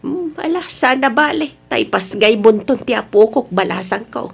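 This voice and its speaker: A woman with an Ilocano accent playing an anxious, tender mother and grandmother in a radio drama, with expressive, lamenting delivery. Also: fairly high pitch, moderate pace, vocal fry, husky, heavily acted. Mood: reassuring.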